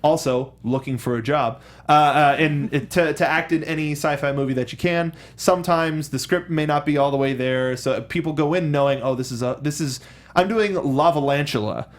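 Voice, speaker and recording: Higher-voiced man in rambling film chat. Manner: rambling, halting, self-correcting. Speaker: film discussion show host. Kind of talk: casual movie review chat.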